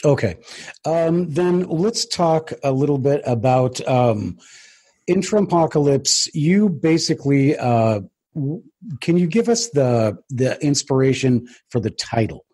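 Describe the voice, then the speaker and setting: A man casually asking questions as an interview host, hesitant and pausing often with ums. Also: medium pitch, animated, darkish tone.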